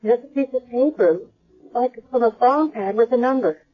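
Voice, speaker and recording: An actress playing a timid, bewildered young woman with amnesia in a 1970s radio drama, speaking in a halting, hesitant way. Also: on the high side, close to an everyday voice. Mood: confused, anxious. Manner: hesitant, halting, repeating words. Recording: dull lo-fi old radio broadcast.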